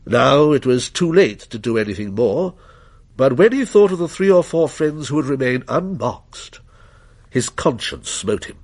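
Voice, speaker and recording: Man, story narrator, measured literary delivery, very expressive intonation, some creak. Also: mid-range voice. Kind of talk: scripted dramatic story narration.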